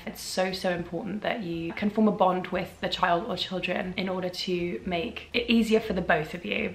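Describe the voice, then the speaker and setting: British woman, twenties, chatty advice vlog, echoey room. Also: medium pitch. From England. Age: 20s. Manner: chatty, friendly, explanatory. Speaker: vlogger. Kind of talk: casual advice vlog to camera.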